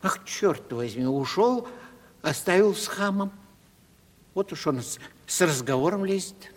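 An actor playing a shabby, argumentative comic actor of wounded pride and mocking tongue in a classical radio comedy. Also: male voice, high-pitched, expressive, a little slow. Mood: cheeky, mocking. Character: touchy, cheeky shabby comic actor forced to play lackey. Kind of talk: Russian classical stage comedy, radio play. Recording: reverberant 1970s radio drama recording.

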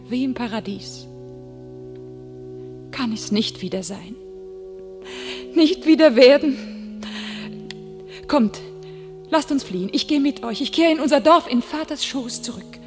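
A woman's voice: a little high, expressive, a little slow, pauses often, a little mellow. A young heroine of peasant birth, torn between glory and home. She declaims her verse with passion in a raised register, and music plays beneath her. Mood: longing. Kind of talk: classic verse radio drama. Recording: music under the radio play.

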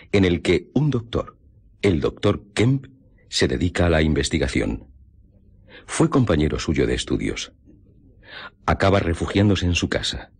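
A man with a Castilian Spanish accent narrating a scripted story for radio in measured, suspenseful phrases, his voice husky. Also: darkish tone. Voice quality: deep, husky.

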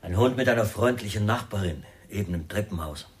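A man's voice: fairly low pitch, brisk. An actor playing a suspicious, coercive man in a German radio crime drama, outwardly polite and controlled, with a falsely soothing, commanding manner. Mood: probing, polite. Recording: clearly noisy radio drama recording.

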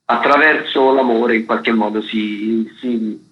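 A man works through theatre listings as a radio contributor. He is chatty and informal, often hesitating and rephrasing. His pitch is average, and the sound is dull and band-limited. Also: fairly even intonation, takes it slowly. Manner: chatty, hesitant, self-correcting. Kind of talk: informal spoken listings rundown.

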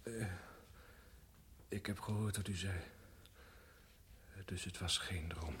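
An actor playing a dazed, desperate condemned prisoner in a radio play, with a breathy voice and halting delivery. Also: male voice, deep voice, steady tone, fairly bright tone, a little hiss or hum. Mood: weak, troubled. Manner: halting, swings from weak murmur to agitation.

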